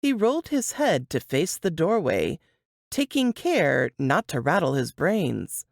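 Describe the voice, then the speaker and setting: A woman narrates fiction in a lowish voice. Her pacing is slow and measured, with highly animated, dramatic intonation. The sound is dry and close, like an audiobook. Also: gravelly, no echo.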